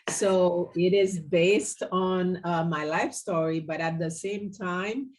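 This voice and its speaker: A woman from Haiti giving measured answers as an interview guest and author. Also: on the low side.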